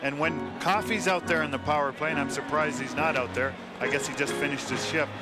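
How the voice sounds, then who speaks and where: Male color commentator for live hockey, raised natural pitch, chatty explainer, with very loud music underneath. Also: steady tone, brisk. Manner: conversational, explanatory. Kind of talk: live sports analysis.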